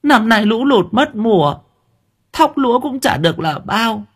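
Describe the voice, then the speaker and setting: A man's voice: very high voice, big pitch swings, unhurried, slightly rough, pitched above the performer's own voice, exaggerated voice. A man voicing a poor elderly peasant woman who stammers and begs tearfully. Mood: pleading.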